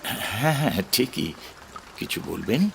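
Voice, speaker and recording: A man acting a flustered, introspective poet and editor in an audio drama, hesitant and repeating his words with dramatic pauses. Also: fairly low pitch, very animated, vocal fry, fairly bright tone, a little hiss or hum, heavily acted. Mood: polite, awkward. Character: middle-aged male poet and editor, flustered, smitten, introspective. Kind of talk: Bengali audio drama acting with brooding narration.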